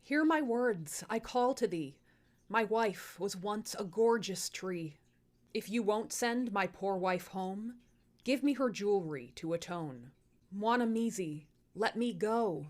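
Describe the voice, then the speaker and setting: A woman voicing a poor, grieving beggar man from a folktale in a slow, mournful sung plea. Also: medium pitch, lively intonation, a little mellow. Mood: mournful, pleading.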